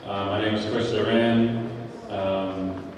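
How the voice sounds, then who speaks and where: Young male panelist, low and monotone, distant hall recording. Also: takes it slowly. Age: young adult. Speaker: panelist and organizer. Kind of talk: panel self-introduction. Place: large hall at a live event. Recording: echoey hall from far off, clear noise.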